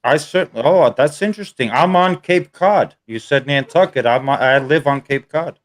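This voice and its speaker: A man in his forties with a slightly higher than usual voice, chatting on a video call. He talks in a playful, teasing way, ribbing the others as they go. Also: moderate pace. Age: 40s. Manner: joking, teasing. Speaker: live stream guest. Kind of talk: casual group chat.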